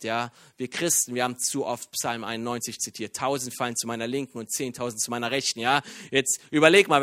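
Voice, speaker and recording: A man from Iran preaching a sermon in a lively, joking way, with many fillers and restarts. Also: fairly high pitch, no room sound, studio quality. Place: church.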